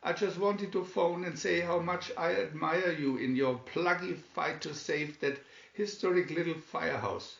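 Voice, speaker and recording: A man's voice: a little high, a little slow, gravelly, fairly bright tone, pitched above the performer's own voice. Man doing a blustering villain tycoon, echoey. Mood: oily, chummy. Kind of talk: storybook character reading. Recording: dull, echoey room recording.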